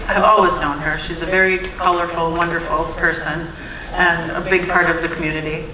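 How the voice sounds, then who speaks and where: A woman hosting a live interview in a community hall, loud and encouraging as she prompts her guest with questions. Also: fairly low pitch, level tone, brisk. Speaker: interviewer host. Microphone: far from the mic. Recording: echoey hall from far off, some noise.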